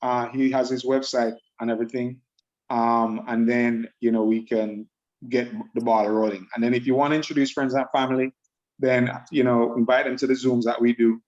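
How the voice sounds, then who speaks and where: A man, giving an upbeat, persuasive sales pitch about a trading program over a video call. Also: flat delivery, normal speed, darkish tone, muffled recording. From Jamaica. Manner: upbeat, persuasive, repeats words.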